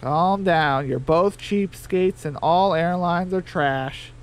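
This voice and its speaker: A man voicing a bossy, take-charge chicken leader in a radio comedy, with a brisk, commanding manner. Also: a little high, clear, resonant tone. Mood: exasperated.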